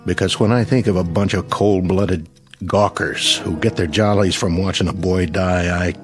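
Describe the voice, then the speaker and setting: A man plays a stern, weary small-town sheriff in a radio play. His voice sits low and he speaks gravely, with music running under the scene. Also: steady tone, normal speed, natural voice. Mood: grim. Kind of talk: radio drama acting.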